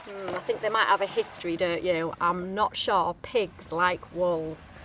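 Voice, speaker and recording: A woman's voice: fairly low pitch, fairly even intonation, measured pace, fairly bright tone, slight background noise. A woman reading a picture book aloud to young children, expressively, with chatty asides and questions to her listeners. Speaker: storybook reader, teacher-like.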